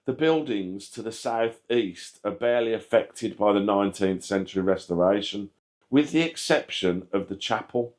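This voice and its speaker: Man, low-pitched voice, scripted documentary narration, measured factual reading. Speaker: channel host narrating a history video. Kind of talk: scripted narration, read aloud.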